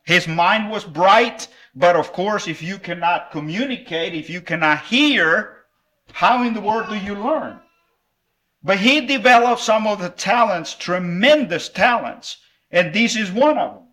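A man's voice: sits high, animated, measured pace, pushed and bright. A middle-aged man from El Salvador preaching at a revival meeting and giving a children's lesson, friendly and conversational with fillers.

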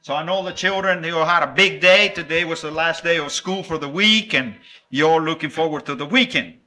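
Bright-voiced preacher. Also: male voice, on the high side. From El Salvador. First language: Spanish. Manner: warm, animated and playful with children, with halting restarts. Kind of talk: sermon with a children's object lesson.

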